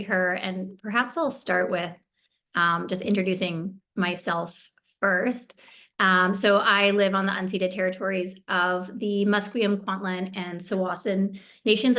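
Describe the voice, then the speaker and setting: A woman speaking as a guest founder on a video call, telling her personal story softly and hesitantly. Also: mid-range voice.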